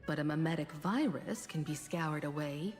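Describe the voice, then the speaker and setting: Woman voicing a composed, lower-pitched game strategist. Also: long reverb tail, faint music behind, lightly acted. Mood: calm, resolute. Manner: measured, explanatory. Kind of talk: video game voice acting.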